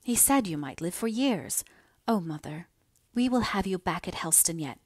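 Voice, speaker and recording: A woman's voice: lowish voice, lively intonation, moderate pace, a little mellow, no room sound. Devoted, impulsive young daughter for storybook drama played by a female reader: heartfelt, imploring delivery, close dry sound. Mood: impatient. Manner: earnest, pleading, fervent. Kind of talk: dramatised audiobook dialogue.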